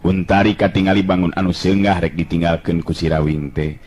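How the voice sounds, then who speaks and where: A man narrating a folk tale as a storyteller, in a brisk, measured way. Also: low-pitched, steady tone, darkish tone.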